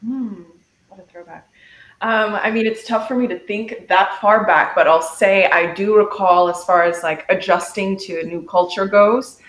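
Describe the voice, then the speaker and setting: A woman's voice: medium pitch, moderate pace. A young woman whose first language is Dutch, a singer being interviewed, answering in a thoughtful, fluent way. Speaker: singer in a music group, interview guest. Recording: roomy echo.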